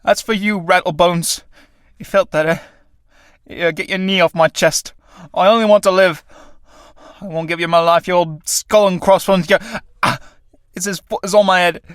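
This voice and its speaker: A man playing a battered tramp in a stage-play reading, with rough colloquial speech and a pitying, defiant temper. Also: high voice, steady tone, moderate pace, fairly bright tone, no room sound, clean studio sound, pitched above the performer's own voice. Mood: desperate, defiant.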